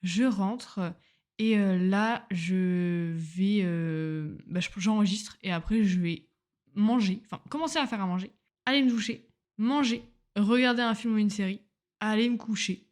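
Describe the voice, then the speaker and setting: A woman's voice: mid-range voice, measured pace, a little mellow. A young woman, a medical student, talks loosely into a home microphone about her day, rambling, joking about herself and using lots of slang. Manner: chatty, rambling, self-mocking, full of slang. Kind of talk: casual diary-style chat. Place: at home on a couch.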